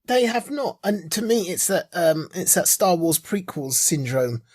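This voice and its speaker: Husky male podcast co-host, chatting casually. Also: sits high, sing-song, a little slow, a little mellow, no echo, clean studio sound. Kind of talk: casual chat and informal reviews.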